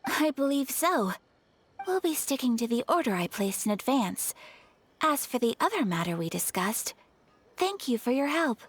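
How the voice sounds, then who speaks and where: An actress playing a refined, gentle young noblewoman in a video game, with formal, polite and earnest phrasing. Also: high-pitched, sing-song, normal speed, breathy edge, heavily acted. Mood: courteous.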